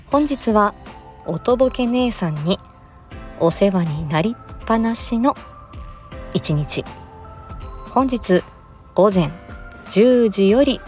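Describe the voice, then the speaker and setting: A woman's voice: mid-range voice, darkish tone. A woman giving a promotional announcement in a polite, formal manner, like a presenter. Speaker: voice performer and channel host. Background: music.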